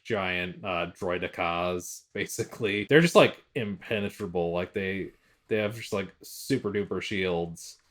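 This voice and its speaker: A man's voice: deep voice, a bit bright. A man co-hosting a casual pop-culture podcast, speaking informally and bluntly in loosely worded opinions with some swearing. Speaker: podcast co-host.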